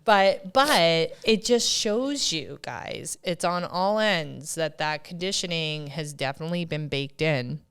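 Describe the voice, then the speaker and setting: Podcast co-host, woman, thirties, smooth low-ish voice, emphatic and quick to push back. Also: expressive, a bit bright. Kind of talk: casual chat.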